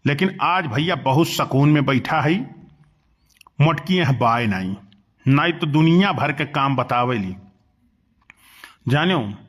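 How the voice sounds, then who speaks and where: A man with an Awadhi accent voicing a put-upon, grumbling village husband in a comic cartoon, drawling and exclaiming in rural dialect. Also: medium pitch.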